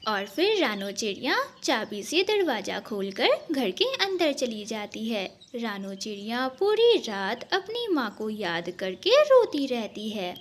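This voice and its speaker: A woman's voice: a little high, very animated, slow pace, few pauses. A woman narrating a scripted cartoon story as its storyteller.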